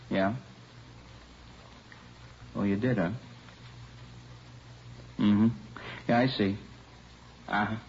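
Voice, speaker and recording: An actor playing a practical American police lieutenant in a 1950s radio drama, casual and businesslike. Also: male voice, on the low side. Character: plain-spoken, practical male police lieutenant. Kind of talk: 1950s radio drama acting, naturalistic underplayed dialogue. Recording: muffled, faintly noisy old radio recording.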